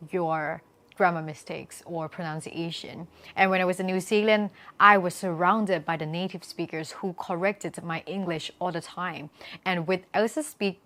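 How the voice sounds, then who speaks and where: Emphatic Thai woman vlogger, lower-pitched voice. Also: fairly bright tone. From Thailand. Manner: emphatic, repeats key points. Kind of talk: talking to camera, explanatory vlog with sponsor pitch.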